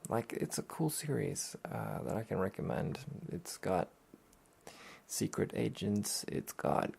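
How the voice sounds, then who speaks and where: Soft-spoken, gravelly man talking slowly. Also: animated. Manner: hesitant, rambling, low-key. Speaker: host of a weekly anime talk show. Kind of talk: soft-spoken relaxation talk, casual review.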